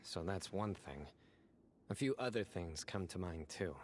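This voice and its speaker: An actor playing a stern, composed young desert guardian in a video game, speaking in a measured, deliberate, solemn way with reflective resolve. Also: male voice, sits low, expressive, darkish tone, a little hiss or hum. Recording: background music under dialogue.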